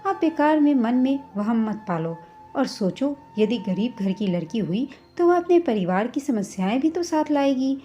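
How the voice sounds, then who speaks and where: An easygoing young grown-up son in a family story, played by a woman narrator. The voice is high and mellow, with a persuasive, reasoning way of talking. Music sits behind it. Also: sing-song, normal speed, dull audio. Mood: reassuring, arguing.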